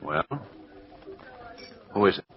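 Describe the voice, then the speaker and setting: A man's voice: takes it slowly, close to an everyday voice. An American actor playing a wary, sardonic man suspected of being a missing heir, in 1940s radio mystery style with clipped delivery. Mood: defiant, suspicious. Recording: muffled, noisy vintage radio recording.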